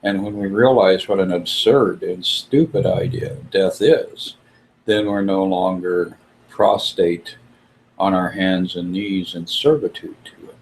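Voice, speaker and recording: A man hosting a spiritual discussion, talking in a slow, musing way with frequent pauses. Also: deep voice, steady tone, a little hiss or hum, low-quality recording.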